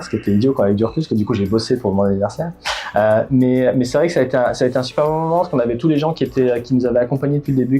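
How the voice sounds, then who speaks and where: A middle-aged founder from Bordeaux talks in an interview at a mid pitch, with a warm, mellow tone. He hesitates often, restarting his phrases with plenty of fillers. Faint music plays behind him. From Bordeaux, France. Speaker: startup founder. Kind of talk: interview answers.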